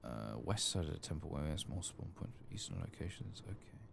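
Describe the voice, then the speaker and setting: A man giving soft-spoken ASMR game commentary, murmuring and at times drifting toward a whisper. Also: a bass voice, sing-song, a little fast, vocal fry, background noise. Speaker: gaming video host.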